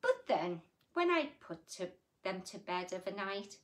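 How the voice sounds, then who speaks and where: A woman voicing a tired, put-upon mother of unruly children in rhyming, measured picture-book narration. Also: mid-range voice. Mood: tender, dramatic. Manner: measured, expressive, rhythmic.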